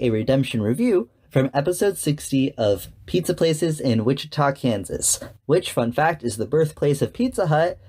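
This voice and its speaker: A man speaks as a podcast host at a middle pitch. His delivery is scripted commentary, measured and articulate, addressed straight to the listener. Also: darkish tone.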